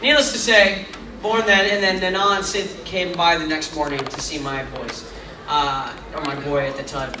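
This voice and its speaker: Middle-aged guy rambling at a con panel, high-ish voice, far off in a big echoey hall. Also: fairly even intonation, moderate pace, noisy background. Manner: chatty, rambling, self-mocking with asides. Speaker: convention panel guest. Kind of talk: casual Q&A with fans. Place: large convention hall.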